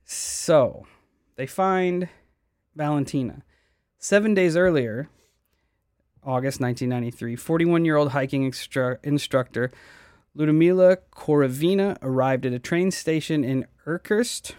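A man's voice: on the high side, unhurried, a little mellow, smooth, no echo, studio quality. A man hosting a podcast, casually telling a mystery story, swearing often and stumbling over names. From United States. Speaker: podcast host.

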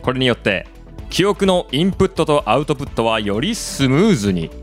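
A man playing a formal corporate announcer in a radio drama's product advertisement, measured and promotional. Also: mid-range voice, sing-song, faint music behind, close to an everyday voice. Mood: polished promotional. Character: polished male corporate announcer launching a futuristic product.

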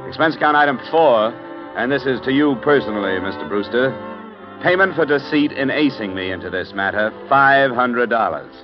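An actor playing a hard-boiled, wry insurance investigator narrating a 1950s radio drama in a clipped manner. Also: male voice, mid-range voice, animated, moderate pace, lightly acted. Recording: dull old radio recording with music.

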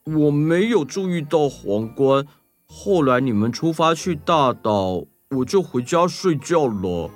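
A man's voice: fairly high pitch, sing-song, unhurried, soft background music. A man voicing an earnest, easily offended male sea turtle in a children's detective story. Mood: plain, helpful. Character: indignant male green sea turtle who loves singing.

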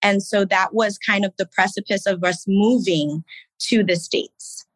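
Woman from haiti in her 30s, slow and thoughtful, middle pitch, dry close mic. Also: steady tone, no echo, rough recording. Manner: unhurried, reflective, with fillers and soft laughs. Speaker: guest, business owner and Navy veteran. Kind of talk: casual interview talk.

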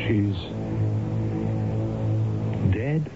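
An actor playing an earnest, guilt-ridden hypnotist doctor in a 1970s radio drama. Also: male voice, lowish voice, lively intonation, very slow, music in the background, exaggerated voice. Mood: shocked. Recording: dull, noisy 1970s radio broadcast recording.

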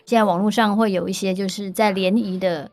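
A woman's voice: medium pitch, steady tone, brisk, darkish tone, no echo. A woman with a Taiwanese accent hosting a podcast, asking questions in a casual conversational way. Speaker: podcast host, interviewer.

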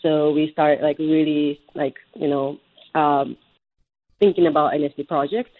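A woman's voice: low voice, fairly even intonation, unhurried, narrow, tinny audio. A woman from Japan, answering interview questions conversationally and searching for words as she goes. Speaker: interview guest, startup co-founder.

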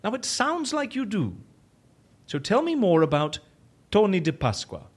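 An actor playing a fussy, sceptical gentleman in a comic audio drama, speaking in an arch, polite, wordy way. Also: high-pitched, wildly swooping pitch, moderate pace, husky, full character voice. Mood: arch, amused. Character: fussy, theatrical, sceptical gentleman, unflappable until threatened.

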